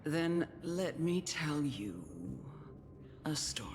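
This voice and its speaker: An actor playing a grave, wise guardian of death who counsels a child in a measured, solemn video game style. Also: mid-range voice, expressive, a little hiss or hum. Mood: grave storytelling. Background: music.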